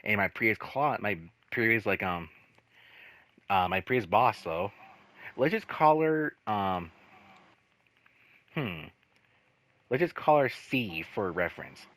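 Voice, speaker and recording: A man telling casual stories in a rambling, halting way, swearing freely and leaning on repeated filler phrases. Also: fairly low pitch, lively intonation, fairly bright tone. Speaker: host telling personal work stories. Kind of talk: casual storytelling chat.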